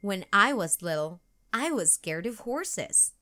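A practical, gentle mom in a children's storybook, read by a woman. She explains and reassures at a slow pace, her bright voice lifting and dipping. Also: medium pitch, sing-song, full character voice. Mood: reassuring. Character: gentle, practical mother of a little girl.

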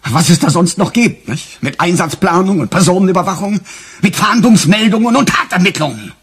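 An actor playing a sarcastic, embittered police detective in a radio crime drama, defensive and emphatic, with a husky voice. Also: male voice, high voice, fairly quick, fairly bright tone, heavily acted. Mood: indignant, bitter.

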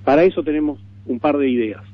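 A man with a Uruguayan accent explaining proposals in a radio interview, deliberate and repeating words for emphasis. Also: a little high, a little hiss or hum. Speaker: political interviewee. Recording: narrow tinny AM radio stream.

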